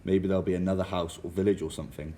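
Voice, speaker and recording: A man's voice: sits low, level tone, racing, warm tone, close to an everyday voice. A man playing a nervous, suspicious young brother in an amateur radio drama. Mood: uneasy, reluctant.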